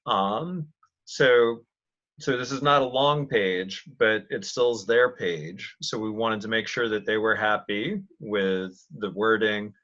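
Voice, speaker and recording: Male meeting leader, unhurried and halting, informal presenting style, muffled conference-call sound. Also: on the low side, a bit bright. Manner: halting, thinking aloud. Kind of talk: casual work conference call. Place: online video conference call.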